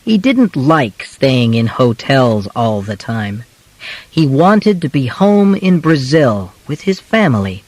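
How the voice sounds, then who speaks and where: Male narrator, slow deliberate phrasing, lively pitch swings, audiobook reading. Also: no room sound. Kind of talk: scripted narration of a simplified biography for learners.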